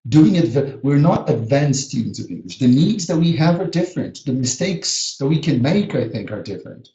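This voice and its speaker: A man's voice: medium pitch, sing-song, normal speed, warm tone, roomy sound, lo-fi sound. A man from Brazil, a native Portuguese speaker, teaching a live webinar lesson and drilling words with earnest emphasis. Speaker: teacher educator. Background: a small child heard now and then.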